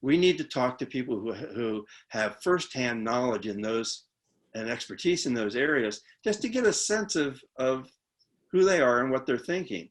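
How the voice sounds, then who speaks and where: A man hosting a video call interview, speaking haltingly with stammers and restarts. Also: medium pitch, lively intonation. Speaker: host interviewer. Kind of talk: conversational interview.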